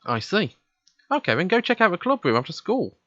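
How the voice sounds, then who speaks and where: A man's voice: sits high, wildly swooping pitch, fairly quick, sharp tone, no room sound, lo-fi sound. A man hosting a let's play, reading visual novel narration aloud and commenting casually, stumbling now and then.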